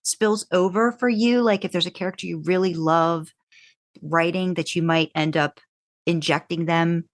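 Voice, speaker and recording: A woman's voice: lowish voice, fairly even intonation, moderate pace, no room sound. A woman co-hosting a casual podcast interview, asking long, rambling questions and often murmuring agreement. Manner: rambling questions, frequent backchannel "mm-hmm". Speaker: podcast co-host.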